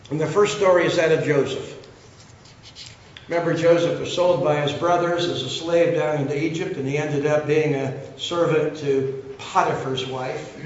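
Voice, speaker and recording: Older male preacher, fairly high voice, rough throat, very echoey church, dull sound. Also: a little hiss or hum. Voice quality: coughing, cough drop in mouth. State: losing his voice, throat trouble. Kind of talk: sermon storytelling.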